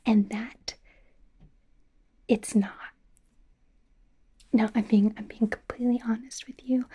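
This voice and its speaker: A woman playing a bubbly young female pop idol acting as a personal stylist in a softly whispered, informal, rambling ASMR roleplay. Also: on the high side. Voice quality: soft, breathy whisper. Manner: hesitant, rambling, informal, earnest.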